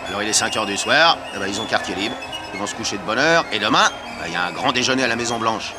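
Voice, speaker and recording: Chatty male sightseer played by an actor, quick and slangy, old radio play with music underneath. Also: lowish voice, natural voice. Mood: breezy explaining. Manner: slangy, familiar, exclamatory. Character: chatty, know-it-all working-class man sightseer. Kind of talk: 1960s French radio play acting.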